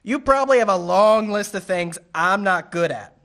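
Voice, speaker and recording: A man's voice: high voice, lively intonation, normal speed, a bit bright. A man playing a naive, earnest marina worker in a radio play, guileless and eager.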